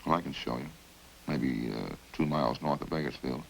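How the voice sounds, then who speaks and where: An actor in a 1950s radio crime drama playing a chatty killer who confesses in a casual, folksy, matter-of-fact way. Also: male voice, extremely low pitch, normal speed, gravelly, fairly bright tone, exaggerated voice, rough recording. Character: chatty, hungry male killer calmly confessing over supper. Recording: hissy old-time radio recording.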